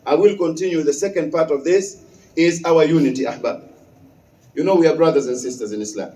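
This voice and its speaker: A man, preaching a Friday sermon as an imam. His voice sits high but sounds mellow, and he repeats his key phrases with emphasis. The mic is some distance away, so the sound carries through an echoing mosque hall. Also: fairly quick. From West Africa.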